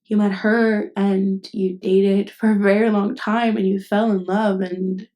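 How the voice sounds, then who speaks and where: A woman acting a nervous, tender best friend with hidden love in an intimate ASMR roleplay, speaking hesitantly and haltingly. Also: mid-range voice, flat delivery, warm tone, lightly acted. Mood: resigned, hurt. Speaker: voice actress.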